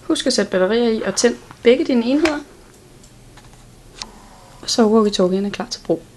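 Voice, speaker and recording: A woman's voice: on the high side, animated, darkish tone, slight background noise. A woman giving an instructional product walkthrough in a plain, step-by-step way. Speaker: product demonstrator.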